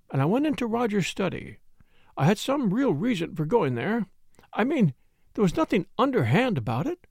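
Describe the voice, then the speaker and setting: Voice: medium pitch, creaky, dry recording, studio quality, pitched above the performer's own voice. A reader putting on a gushing, tearful lady. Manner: fluttery, rambling, self-pitying. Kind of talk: audiobook character reading.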